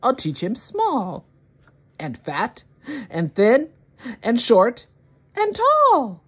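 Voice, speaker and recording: A woman hosting story time, reading a children's picture book aloud in an expressive, deliberate way for young children. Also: fairly high pitch, husky.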